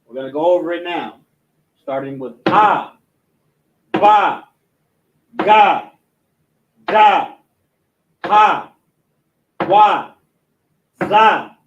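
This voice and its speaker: A man's voice: high-pitched, fairly bright tone, dull audio, a little hiss or hum. A man teaching a language class, speaking with frequent restarts and fillers, and reading out an alphabet letter by letter. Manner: halting, many restarts and fillers. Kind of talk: classroom lesson, reciting alphabet.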